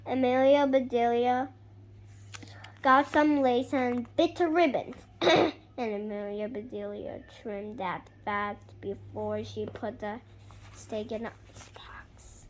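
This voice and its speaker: A young girl reading a picture book aloud in an animated, halting way, stopping to react and ask questions. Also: fairly high pitch, slight background noise. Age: young child. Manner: halting over harder words, interjecting reactions and questions to listeners. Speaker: child reader.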